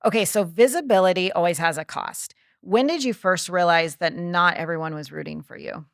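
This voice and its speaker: Woman podcast host, lower register, brisk interviewer, dry sound. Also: creaky, dry recording. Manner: brisk questions, frequent short affirmations. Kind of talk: interviewing, asking set questions.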